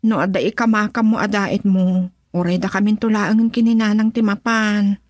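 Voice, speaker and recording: Husky-voiced worried Ilocano wife, played by an actress. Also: female voice, medium pitch, level tone, normal speed, darkish tone, dry recording, natural voice. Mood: gentle, slightly chiding. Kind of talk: Ilocano radio drama acting.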